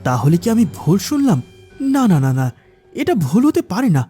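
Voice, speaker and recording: A man voicing an earnest, soft-hearted royal soldier in a dramatic cartoon folk tale style. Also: high-pitched, sing-song, normal speed, faint music behind. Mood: puzzled.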